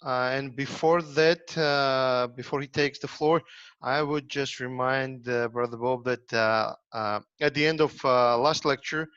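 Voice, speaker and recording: A man chairing an online lecture, polite and hesitant, filling pauses with many ums and uhs. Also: mid-range voice, fairly even intonation, fairly bright tone, clear, resonant tone, dry recording. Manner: hesitant, full of uh fillers, polite. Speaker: moderator. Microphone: video call. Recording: dry, dull lo-fi call audio.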